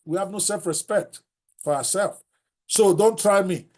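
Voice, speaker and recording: An older Nigerian man with a Yoruba accent, teaching a book-writing class in a blunt, animated way and dropping in Yoruba phrases. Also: sits high, measured pace. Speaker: host.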